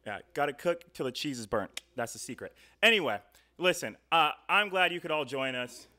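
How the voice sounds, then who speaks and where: A man's voice: fairly high pitch, expressive, normal speed, bright, forceful tone. A man in his twenties hosting a live show in a casual, chatty, jokey way. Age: 20s. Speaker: host. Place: indoor studio. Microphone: handheld microphone on stand.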